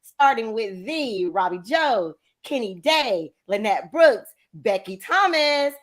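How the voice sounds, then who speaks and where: A woman hosting a livestreamed talk show, bubbly and excitable as she welcomes her guests. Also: a little high, slow pace. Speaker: host.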